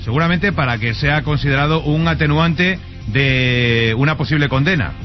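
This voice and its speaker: A man's voice: on the high side, moderate pace. A man from Spain hosting a radio sports show, running through the news with wry asides. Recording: dull radio sound with music under.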